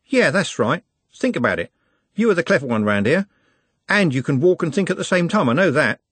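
A man plays a brash young working-class football fan in a storybook, pitched high and very animated. His talk is blunt, slangy and mocking, with a rough, husky edge, recorded close and dry. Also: fairly quick, dry recording, exaggerated voice. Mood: bossy. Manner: slangy, blunt, matey banter.

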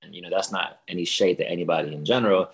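A man's voice: low-pitched, fairly even intonation, rapid-fire, vocal fry. A man from the Bronx, a rapper and dancer, chatting as a podcast guest in an easygoing way. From the Bronx, New York. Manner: easygoing, says "you know" and "man" a lot. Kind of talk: casual conversation.

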